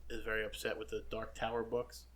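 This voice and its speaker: A man with a Long Island accent co-hosting a casual chat podcast, joking and teasing, getting loud when exasperated. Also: on the low side, completely flat delivery, a little fast, crowd noise. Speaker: podcast co-host.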